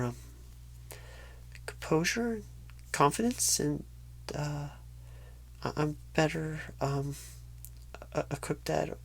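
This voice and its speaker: A woman playing a nervous, inexperienced job recruiter in an ASMR roleplay, halting, stammering and apologetic. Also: very deep voice, vocal fry, husky, heavily acted, poor audio. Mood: bashful, awkward. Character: painfully shy, nervous, inexperienced job recruiter on first day. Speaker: job interviewer. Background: computer keyboard typing.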